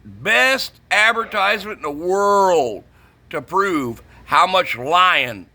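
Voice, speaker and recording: A man's voice: high voice, expressive, takes it slowly, creaky, bright, forceful tone, a little hiss or hum. A middle-aged man, ranting casually and bluntly about his trade, swearing and repeating himself for emphasis. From northern United States. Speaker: CB radio shop vlogger. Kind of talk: casual opinionated rant.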